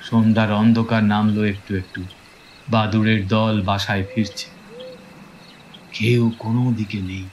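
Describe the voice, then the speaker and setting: A man playing a village schoolteacher who recounts his own eerie experience in measured, hesitant audio-story narration. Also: lowish voice, flat delivery, darkish tone, dull audio, slight background noise, natural voice. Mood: reflective. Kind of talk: dramatised first-person audio story narration. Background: music.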